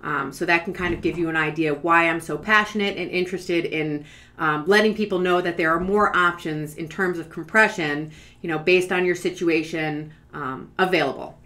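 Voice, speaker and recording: A woman's voice: fairly low pitch, moderate pace, sharp tone. A woman in her forties giving an informal explanatory talk to camera, conversational and thinking aloud as she goes. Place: small furnished room at home, indoors.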